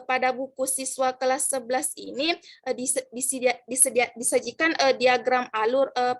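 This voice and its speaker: A woman's voice: on the high side, a bit bright. A young woman student giving a class presentation, reading formulas and definitions from slides with many hesitations and fillers.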